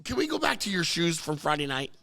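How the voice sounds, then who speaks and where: A hoarse man with a high, gravelly, bright voice hosts a casual talk show. He is losing his voice. He argues excitably, and his pitch keeps jumping upward. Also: quick pace. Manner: excitable, pitch jumps up when arguing. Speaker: podcast host. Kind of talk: casual banter. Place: car service center.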